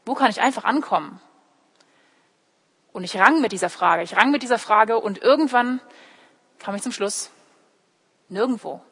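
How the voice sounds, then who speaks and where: A woman's voice: fairly high pitch, moderate pace, pauses often, fairly bright tone, slightly rough. A young woman, a native English speaker raised in Germany, preaches in an explanatory way, addressing listeners directly and voicing short quotes. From Germany, born in California and raised in Heidelberg. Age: young adult. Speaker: theology student preaching at church. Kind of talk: sermon with personal illustrations. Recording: echoey hall with long reverb.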